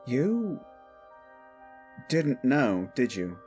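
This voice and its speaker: A man's voice: medium pitch, big pitch swings, drawn-out words, darkish tone, faint music behind. A man playing a protective exiled prince standing in as king, firm, formal and weary, in a fantasy audio drama. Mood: guarded, weary. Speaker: voice actor.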